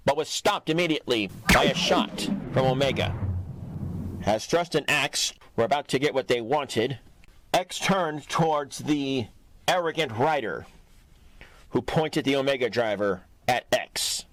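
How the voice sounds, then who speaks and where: A man's voice: medium pitch, unhurried, fairly bright tone, slight background noise. Give the narration to a man with a mid-range voice. He reads scripted action storytelling in a dramatic audio drama style, setting out each scene as narrator.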